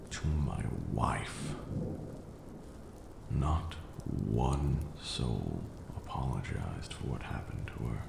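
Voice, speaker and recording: An ancient vampire lord, acted by a man for an ASMR roleplay, brooding and proud yet protective. He speaks formally and very slowly in an extremely low register, with heavy gravel in the throat. Each phrase is measured and dramatic. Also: reverberant, background noise, heavily acted. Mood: grieving, bitter. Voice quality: very deep, gravelly, breathy at times.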